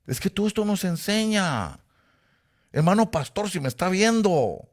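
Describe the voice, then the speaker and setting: A man from Costa Rica with a Guatemalan Spanish accent, preaching a sermon and telling personal stories. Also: moderate pace. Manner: animated storytelling with sudden rises in pitch and volume.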